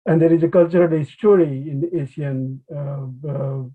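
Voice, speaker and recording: Husky Nepali-accented man, phone-like sound. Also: fairly high pitch, steady tone. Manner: halting, hesitant phrasing with frequent restarts. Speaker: conservation biologist, webinar speaker. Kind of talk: informal academic webinar talk. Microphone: video call. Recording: thin phone-like online call audio.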